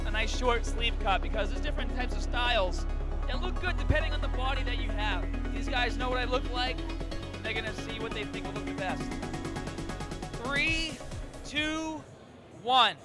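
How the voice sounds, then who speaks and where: A young man hosts a contest with an upbeat, loud delivery, his voice high for a man. He holds a handheld mic in a crowded convention hall. Loud music plays behind him throughout. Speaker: host. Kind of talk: energetic event hosting.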